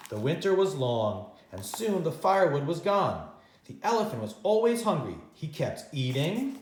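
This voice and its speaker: A man reading a children's story aloud as a teacher, expressively and with dramatic pauses. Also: a little high, echoey room. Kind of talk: animated read-aloud storytime narration.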